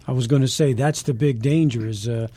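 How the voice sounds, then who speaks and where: Mellow man chatting technically, dry sound. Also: medium pitch, brisk, no room sound. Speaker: interviewer. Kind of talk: casual technical interview chat.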